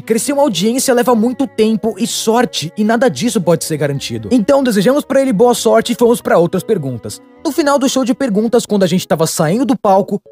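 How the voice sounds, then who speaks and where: Guy doing a young brazilian cartoon narrator, really high voice, chatty and bouncy, music behind. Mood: wry. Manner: chatty, animated, comedic. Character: young male cartoon storyteller, excitable and wry. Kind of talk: animated storytime narration, dubbed.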